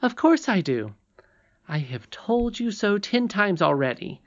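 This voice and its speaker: Casting: young storybook princess played by an audiobook reader, high voice, sure of herself, insistent and earnest. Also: sing-song, unhurried, dull audio. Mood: insistent, confident.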